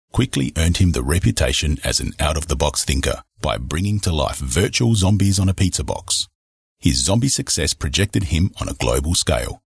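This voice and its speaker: A man hosting a podcast, reading a formal, measured introduction and interviewing a guest. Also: very deep voice, creaky, breathy edge, dry recording, clean studio sound. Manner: formal, measured, read-out delivery. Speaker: podcast host.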